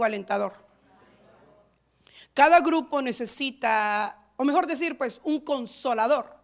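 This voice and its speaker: High-voiced woman pastor preaching emphatically. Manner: emphatic preaching, swings between loud stresses and quiet asides; repetitive, rhythmic phrasing. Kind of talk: sermon. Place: church in San Diego.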